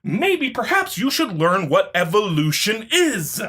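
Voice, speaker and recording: Exasperated, sarcastic woman with a husky edge. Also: medium pitch, sing-song, unhurried, fairly bright tone. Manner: exasperated, sarcastic, foul-mouthed. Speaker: online video commentator. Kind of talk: off-the-cuff sarcastic rebuttal to a comment.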